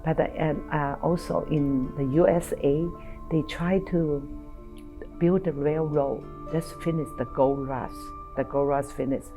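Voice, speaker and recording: Older woman, halting speech. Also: low voice, steady tone, music in the background. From China. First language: Toisanese. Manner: halting, searching for words. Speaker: interviewee. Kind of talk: documentary interview.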